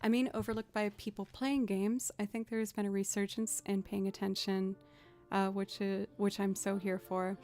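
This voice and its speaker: A young woman with a low voice, chatting casually and rambling to her live stream audience. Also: little pitch movement, normal speed, a little mellow, soft background music. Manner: chatty, rambling, self-deprecating. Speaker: streamer and musician.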